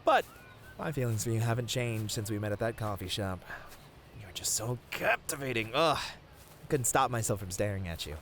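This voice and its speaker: A man's voice: lowish voice, expressive. Guy playing shy sweet boyfriend in romance asmr, rambly and tender, voice swoops around, noisy ambience behind. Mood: earnest, apologetic. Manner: tender, flustered, halting, with soft chuckles. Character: sweet, bashful, rambling boyfriend on a picnic date. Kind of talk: romantic ASMR roleplay acting.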